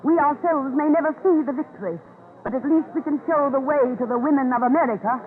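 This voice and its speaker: An actress playing a determined, indignant campaigner for women's rights in an earnest, oratorical 1930s radio drama style. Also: female voice, high voice, fast, narrow, tinny audio, close to an everyday voice. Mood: indignant, resolute. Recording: noisy, phone-thin 1930s radio recording.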